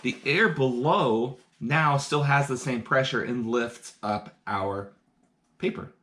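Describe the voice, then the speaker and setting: A man with a middle-pitched voice runs a home science lesson over a live stream, explaining each step in an easy, chatty way. He sounds keen and a little excited as he carries out simple experiments in front of the camera, and his voice drifts closer and farther as he moves around. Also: slow pace. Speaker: science teacher. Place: home, indoors.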